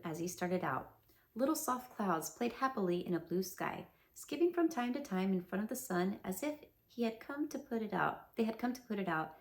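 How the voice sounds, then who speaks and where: A woman's voice: medium pitch, gravelly. A woman reading a children's storybook aloud, adding chatty asides to her listeners. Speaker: storybook reader. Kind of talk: children's read-aloud narration.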